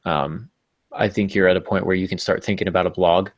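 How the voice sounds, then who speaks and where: A man's voice: deep voice, fairly even intonation, a little fast, no room sound. A man hosting a podcast, talking informally and jokily as he gives writing advice. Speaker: podcast host.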